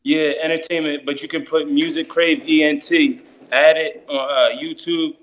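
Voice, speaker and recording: A man's voice: on the high side, flat delivery, moderate pace, fairly bright tone. A man, chatting casually and explaining things in informal slang. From New Jersey, United States. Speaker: guest storyteller, amateur musician. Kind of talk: casual chat. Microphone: phone held close, video call. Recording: dull lo-fi video call.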